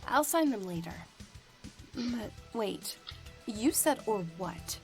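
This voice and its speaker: An actress playing a stern, weary team boss in a visual novel game. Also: female voice, on the high side, lively intonation, slow, darkish tone, faint music behind.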